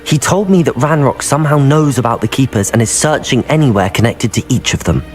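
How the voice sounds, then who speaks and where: A young man playing a cautious, level-headed teenage wizard student in a video game, earnest and measured. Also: medium pitch, lively intonation, natural voice. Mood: firm, pleading.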